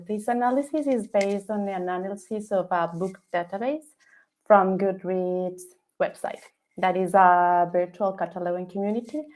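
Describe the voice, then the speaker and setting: A woman from Ecuador, giving a student presentation over a video call in halting, English. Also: medium pitch, darkish tone. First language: Spanish. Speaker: data analytics student presenting.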